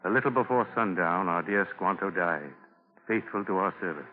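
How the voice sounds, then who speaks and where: A man's voice: sits low, animated, moderate pace, soft background music. Solemn male narrator on tinny old radio. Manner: formal, solemn storytelling. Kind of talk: 1940s radio scripted narration. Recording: noisy, tinny 1940 radio broadcast.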